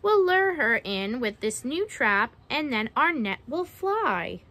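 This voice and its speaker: A young woman you would hear at preschool story time, bright-voiced and unhurried, acting out each rhyme for the little ones. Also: high voice. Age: young adult. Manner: expressive, dramatizes the verses and pauses to ask questions. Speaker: read-aloud storyteller. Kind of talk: children's picture book read-aloud with questions to young listeners. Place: outdoors on a wooden backyard deck.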